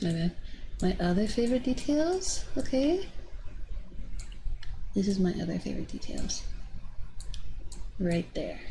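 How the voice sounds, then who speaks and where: A woman livestreamer chatting casually and playfully with her viewers, often softening toward a whisper. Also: fairly low pitch, gravelly, slight background noise, low-quality recording. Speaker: livestreamer, VTuber host.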